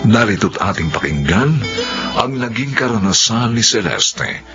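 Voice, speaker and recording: A man introducing a radio drama, announcing in a slow, measured way. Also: sing-song. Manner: slow, measured announcing with wide pitch swings. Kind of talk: scripted program introduction. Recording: lo-fi radio broadcast over guitar music.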